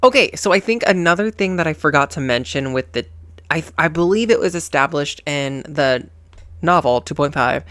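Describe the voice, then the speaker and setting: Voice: mid-range voice, a bit bright, smooth, dry recording. A reaction host giving casual, chatty and playful commentary on a game story, with slangy phrasing.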